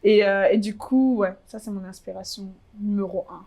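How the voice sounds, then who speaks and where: A young woman from Congo, in her twenties, talks through her memories in a relaxed interview. She hesitates often, filling pauses and restarting her sentences, in a mid-range voice. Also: mid-range voice, creaky. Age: 20s. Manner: hesitant, many fillers and restarts. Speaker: interviewee, fashion blogger. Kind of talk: casual interview chat.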